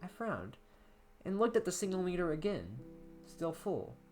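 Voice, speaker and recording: A man's voice: sits high, big pitch swings, normal speed, a little mellow, voice pushed up. A young man playing an anxious, isolated college student in a first-person horror story, with a hesitant, brooding delivery. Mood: hushed, apprehensive. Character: anxious isolated college-age man writing down his unease. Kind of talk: dramatic horror story reading. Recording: music under the voice.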